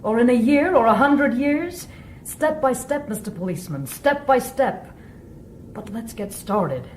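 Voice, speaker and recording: A woman's voice: a little high, expressive, unhurried, echoey room, slight background noise. A woman playing a defiant, sardonic revolutionary who scorns robots in a radio drama. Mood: scornful, defiant. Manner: mocking, sardonic.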